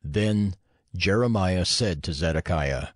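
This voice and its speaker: A man's voice: deep voice, lively intonation, takes it slowly, no echo, studio quality. A man narrating scripture as part of a dramatized audio reading.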